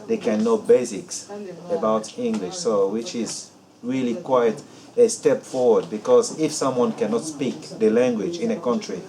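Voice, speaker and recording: A man from Congo giving earnest, steady interview answers about community work. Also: mid-range voice, unhurried, low-quality recording.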